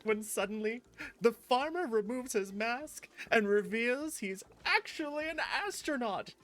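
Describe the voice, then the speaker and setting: A woman puts on a gentle, jolly tea-shop man from a cozy video game. He speaks slowly in quaint, slightly formal phrases, earnest and eager, with faint music behind. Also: fairly high pitch, lively intonation, pitched above the performer's own voice. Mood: jovial, eager. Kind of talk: reading game dialogue in character.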